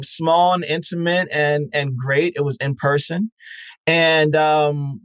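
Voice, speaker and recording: A man answers interview questions in a measured, thoughtful way, pausing often on "uh" and "um". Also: fairly high pitch, no room sound. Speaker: guest, network founder. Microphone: remote call.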